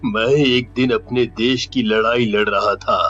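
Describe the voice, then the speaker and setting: A man voicing a weary, cursed ghost soldier in a cartoon story, with a solemn, drawn-out, pleading delivery. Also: medium pitch, steady tone, fairly bright tone, muffled recording, soft background music. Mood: sorrowful. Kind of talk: dramatic cartoon story dialogue.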